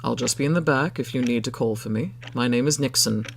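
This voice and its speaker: A man voicing a tall, mid-thirties shopkeeper in a storybook, soft and authoritative, formal, measured and quietly menacing. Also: medium pitch, vocal fry, slight background noise, natural voice. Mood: smooth, patient.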